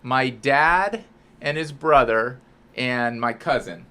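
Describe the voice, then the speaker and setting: An American man with a bright, forward voice talks casually as a podcast co-host. His pitch sits in an ordinary male range. He speaks informally, feeling his way through personal anecdotes. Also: takes it slowly, clear, resonant tone. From Southern California, United States. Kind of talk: casual conversational chat.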